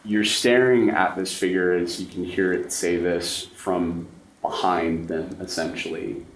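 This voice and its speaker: A man's voice: sits low, fairly even intonation, a little slow. A man acting as game master, narrating a tabletop horror game to players. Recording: echoey room, faint noise.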